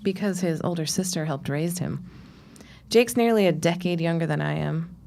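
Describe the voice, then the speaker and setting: Period drama: dry, wry storekeeper's daughter, lower register, gravelly edge. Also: female voice, normal speed, darkish tone. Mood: polite, curious. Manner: dry, sardonic. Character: wry, world-weary young shopkeeper's daughter. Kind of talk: period audio drama acting.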